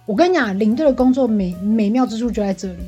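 A woman's voice: on the high side, expressive, fast, mellow, soft background music. A talkative woman with a Taiwanese Mandarin accent, venting in casual, exclamatory chat as a podcast co-host.

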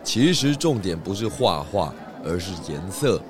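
A man playing a calm, kindly cartoon pig detective who explains things patiently and with gentle amusement in a children's radio drama. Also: low-pitched, a little slow, a crowd in the background.

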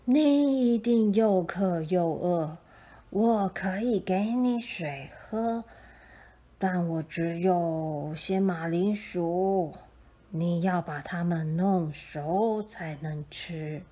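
A woman voicing a kind, dignified old man in a storybook, speaking gently and slowly. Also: fairly low pitch, pitched below the performer's own voice. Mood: kindly, weary. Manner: slow, drawn-out, gentle delivery.

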